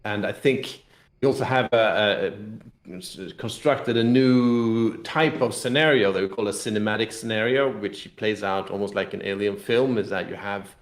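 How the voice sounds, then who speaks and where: A man with a low voice speaks as an interview guest in a roomy, echoing space. He explains things casually, in loose, rambling phrases that often restate themselves. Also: normal speed. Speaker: game designer and CEO, interview guest. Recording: echoey, roomy sound.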